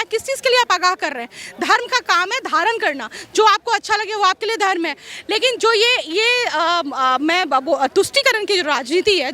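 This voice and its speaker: Female interviewee, very high sharp voice, emphatic and repetitive, street-interview opinion.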